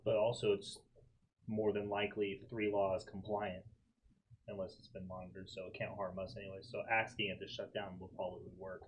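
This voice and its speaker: A man playing a tabletop game, talking things through casually in a measured, reasoning way. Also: fairly low pitch, flat delivery. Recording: dull lo-fi recording with clear noise.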